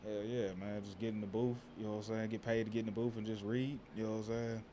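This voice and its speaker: Rapper guest, male, deep bassy voice, easygoing slang-heavy chat, faint background hiss. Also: little pitch movement, quick pace, warm tone. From Texas. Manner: laid-back, slangy, keeps saying "you know what I'm saying". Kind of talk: casual interview chat.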